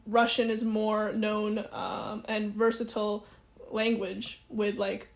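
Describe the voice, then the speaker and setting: A woman's voice: mid-range voice, level tone, slow, vocal fry. A young woman interviewing a friend, asking long, rambling questions with many restarts. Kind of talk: oral history interview.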